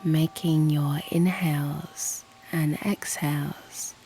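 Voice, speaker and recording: A woman leads a guided meditation at an extremely slow pace, in a low, soft and breathy voice that often nears a whisper. There is noticeable creak in her tone, and her manner is soothing and gently encouraging. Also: steady tone, slight background noise, poor audio. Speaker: yoga nidra guide and channel host. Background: soft music.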